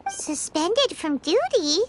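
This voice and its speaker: A woman voicing a tiny, cheerful childlike female game companion with a squeaky, expressive voice. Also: slow, heavily acted. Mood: curious. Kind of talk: video game voice acting. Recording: music playing behind the voice.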